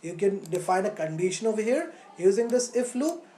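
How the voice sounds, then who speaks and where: A woman's voice: medium pitch, sing-song, brisk, warm tone, reverberant. A woman teaching a live online coding lesson step by step, posing questions and answering them herself. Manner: instructional, poses questions then answers them, checks with "right?".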